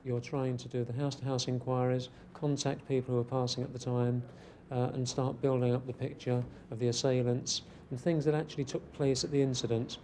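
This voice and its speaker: A detective explains his work in a news interview. The man's voice is soft-edged and mellow, with faint office noise on the archive recording. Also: medium pitch, level tone, a little fast, hardly any pauses. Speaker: police detective.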